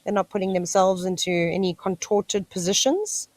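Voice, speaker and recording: A woman's voice: medium pitch, normal speed, a little mellow, no echo. Woman, South African accent, live wildlife commentary, enthusiastic and chatty, insect noise in background. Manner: chatty, enthusiastic, talks to the animals. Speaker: wildlife livestream host. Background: cicadas screeching.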